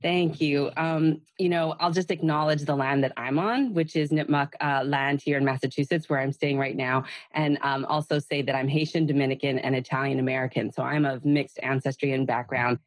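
A woman hosting a podcast interview from a home in Massachusetts over a video call, speaking gently and meanderingly with soft fillers. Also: a bass voice, steady tone, moderate pace, hardly any pauses, no room sound. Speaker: podcast host.

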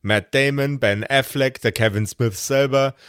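A man in a casual podcast chat, talking hesitantly with a wry touch and drawn-out pauses. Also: mid-range voice, fairly bright tone, clean tone, no room sound, studio quality. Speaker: podcast regular.

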